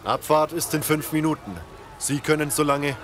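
A man's voice: fairly high pitch, fairly even intonation, normal speed, lightly acted. A man playing a protective, decisive soldier in a zombie audio drama. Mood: businesslike. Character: commanding male soldier, protective and decisive under attack. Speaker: voice actor.

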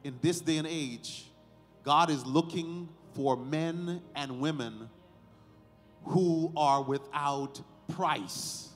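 A man preaching as a pastor at a ceremony, speaking in deliberate short phrases with emphatic stresses and rhetorical questions. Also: a little high. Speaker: pastor leading a baby dedication. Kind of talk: sermon, ceremonial address to family and congregation. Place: large church sanctuary, indoors. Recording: hall reverb, faint music behind.

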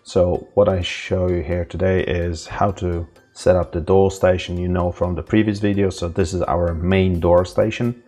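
A man presenting an informal step-by-step tech tutorial, with halting, hesitant phrasing. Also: deep voice, level tone, mellow. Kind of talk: informal step-by-step product demonstration.

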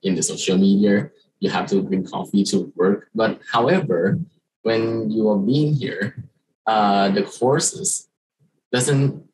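A young man from Thailand, a Thai native speaker, giving a slide presentation as an international student on a video call, halting with many fillers and restarts. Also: lowish voice, darkish tone, slightly rough, poor audio. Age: young adult. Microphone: video call webcam.

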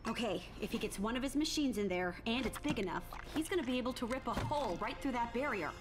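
A woman playing a feisty, bossy ghost-hunting team leader in a game cutscene, brisk and blunt. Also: fairly high pitch, expressive, close to an everyday voice. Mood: commanding. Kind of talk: scripted game cutscene acting. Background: loud music.

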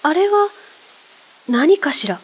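A woman voicing a curious young woman walking home at dusk in a radio drama, with a bright, lilting delivery. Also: sits high, sing-song, takes it slowly, noisy background, full character voice. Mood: uneasy. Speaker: voice actress.

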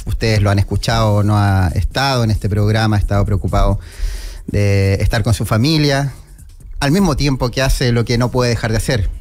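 A middle-aged Chilean man hosting a radio show, giving a solemn, respectful tribute in a measured way, close on a studio microphone. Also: fairly low pitch. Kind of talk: solemn tribute monologue, partly read aloud. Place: small indoor radio studio. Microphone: close broadcast microphone with headphones. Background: background music.

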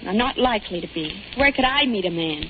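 A woman's voice: mid-range voice, lively intonation, quick pace, natural voice. An actress playing a fed-up young soda fountain girl in a 1940s radio drama, wry, sarcastic and defiant. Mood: sardonic. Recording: tinny, noisy old radio recording.

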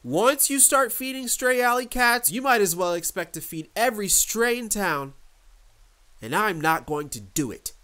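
A man putting on a shrill, scolding mother. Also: animated, moderate pace. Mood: indignant. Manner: loud, emphatic, high-pitched scolding. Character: stern angry mother scolding her young son.

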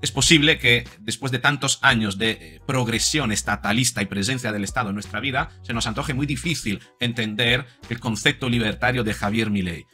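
Reflective man, bright voice. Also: mid-range voice, fairly quick, hardly any pauses, faint music behind. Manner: reflective, with many fillers and repeats. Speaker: psychologist and commentator. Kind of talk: video commentary to camera. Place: home studio room.

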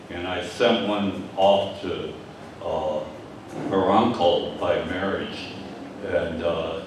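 An older man with a Michigan accent delivers a Sunday sermon. He speaks slowly and haltingly in a low voice, with frequent fillers. He is picked up by a distant microphone, so his voice rings through a very echoey church hall. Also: a little hiss or hum. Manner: halting, repeats words, many fillers. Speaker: pastor. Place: church hall with stage and screen. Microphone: lectern mic from a distance.

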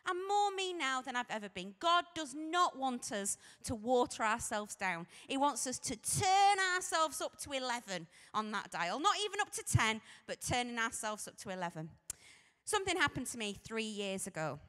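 A woman in her forties with a Sheffield accent tells her story in a chatty, self-mocking way. Her voice sits a little high and comes across bright and forceful. She holds a microphone on a stage, and the large hall adds a long, echoing tail to her words. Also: very animated, moderate pace. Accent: Sheffield English. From Sheffield, England. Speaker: vicar. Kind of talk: humorous personal testimony to a youth audience. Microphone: handheld microphone. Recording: echoey hall.

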